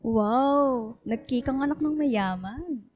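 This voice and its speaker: A woman's voice: high voice, slow pace, darkish tone. A woman with a Filipino accent playing a sassy, reluctant young single woman in a radio drama. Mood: casual, teasing.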